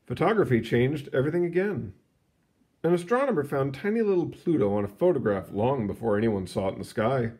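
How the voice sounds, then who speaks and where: Expressive man reading aloud, very animated intonation. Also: mid-range voice, brisk, slightly rough. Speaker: read-aloud narrator. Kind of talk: reading a children's science book aloud, with short asides.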